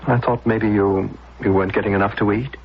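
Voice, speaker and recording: An actor playing a guilt-ridden, weak-willed son, brooding and confessional, in a radio drama. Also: male voice, on the low side, steady tone, fairly quick, husky. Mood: uneasy. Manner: brooding, confessional, hesitant when challenged. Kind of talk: dramatic first-person narration, radio acting. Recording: dull lo-fi radio recording, loud music.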